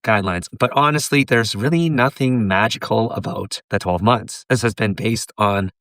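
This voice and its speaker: A man with a hoarse voice from laryngitis, giving a teacherly tutorial and posing questions for the viewer to pause on. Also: on the low side, a bit bright, no room sound, studio quality. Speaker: pharmacist and educator. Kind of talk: tutorial lecture.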